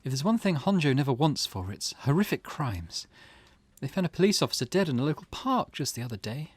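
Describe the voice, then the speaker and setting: A man's voice: mid-range voice, sing-song, brisk, slight background noise, natural voice. A man voicing a weary, grieving middle-aged mother from a visual novel, reading her old-fashioned, wistful thoughts aloud. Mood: weary, uneasy.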